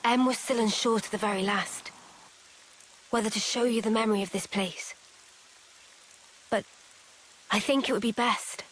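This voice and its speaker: An actress playing a gentle, resolute young woman in a dramatic fantasy game cutscene, speaking with measured, solemn tenderness. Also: a little high, fairly even intonation, close to an everyday voice. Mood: quiet, solemn.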